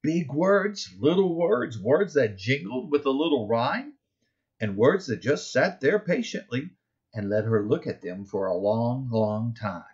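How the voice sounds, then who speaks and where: A middle-aged man reading his own children's picture book aloud, expressively and deliberately, stressing key words in the rhymes. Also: medium pitch, expressive, darkish tone, highs cut off. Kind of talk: expressive children's storybook narration.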